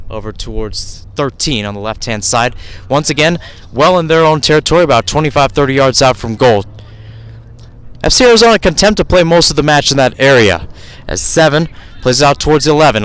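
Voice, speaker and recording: A man's voice: medium pitch, fairly bright tone, clear, resonant tone. A man calling live soccer play-by-play for radio from outdoor fields, talking in a rambling way and often correcting himself.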